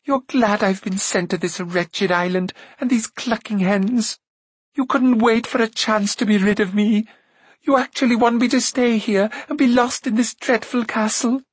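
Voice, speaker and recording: A man's voice: on the high side, expressive, slightly rough, dry recording, pitched above the performer's own voice. A man voicing a headstrong young princess from a storybook, chattering and exclaiming with eager indignation. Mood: hurt, furious. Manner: rushed, breathless, exclaiming chatter.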